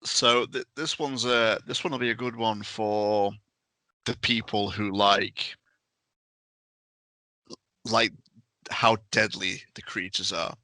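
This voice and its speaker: A man's voice: fairly low pitch, steady tone, slow pace, pauses often, bright, forceful tone, no room sound. A man on a podcast telling game stories casually, in emphatic, punchy short phrases. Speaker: podcast panellist and tabletop game master. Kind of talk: casual storytelling.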